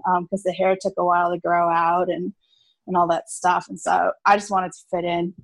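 A woman's voice: fairly low pitch, level tone, moderate pace, creaky, no room sound, poor audio. A woman in her thirties, originally from South Africa, chatting in an interview with plenty of ums and light laughs. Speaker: farmer and innkeeper. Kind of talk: casual interview storytelling.

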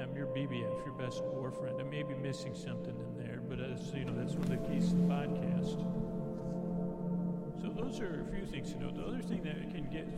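A man hosting a sleep podcast, rambling in a loose, meandering way that drifts softer and quieter. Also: medium pitch. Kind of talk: rambling casual monologue.

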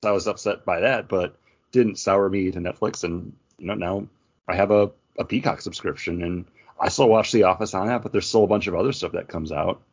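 A man with a fairly low voice talks casually as a podcast co-host, arguing his side in easy conversation. The recording is a bit dull and lo-fi. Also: a little fast, slightly rough. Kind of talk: casual chat, good-natured debate. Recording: dull lo-fi audio.

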